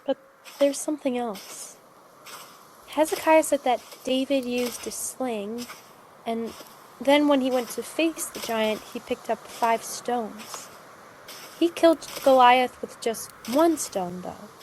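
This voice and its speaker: A woman's voice: on the high side, takes it slowly, darkish tone, rough recording. A woman playing a curious, anxious young girl in an audio drama, hesitant and full of questions. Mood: curious, wondering. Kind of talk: cinematic audio drama acting. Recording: clear background ambience noise.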